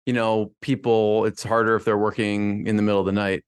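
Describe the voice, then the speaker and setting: A man's voice: on the low side, little pitch movement, a little fast, clean tone. A man hosting an interview, asking loose, rambling questions with frequent restarts. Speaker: podcast host.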